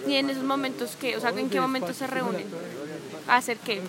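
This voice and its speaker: A woman's voice: high voice, steady tone, fairly quick. Probing woman interviewer. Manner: probing, rephrasing questions. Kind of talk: street interview. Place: city park outdoors. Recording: outdoor crowd noise. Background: street crowd.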